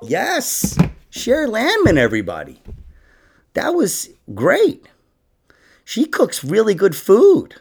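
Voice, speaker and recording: A man's voice: sits high, wildly swooping pitch, slow, vocal fry, long pauses, a little mellow, husky. A man hosting a casual comedy podcast, joking and rambling as he chats with his guest. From New York.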